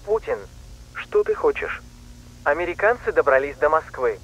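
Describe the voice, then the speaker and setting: Man acting as anxious Russian subordinate, lively and muffled. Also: fairly high pitch, normal speed. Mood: urgent, anxious. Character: anxious Russian military subordinate reporting to the leader. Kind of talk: dramatised military dialogue.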